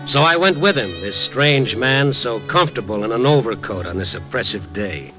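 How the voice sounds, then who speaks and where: A man's voice: medium pitch, expressive, normal speed, over music, close to an everyday voice, rough recording. An actor playing a wry, skeptical younger newspaperman in a 1950s radio drama, informal and slangy. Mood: grave, narrating. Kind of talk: 1950s radio drama acting with first-person narration.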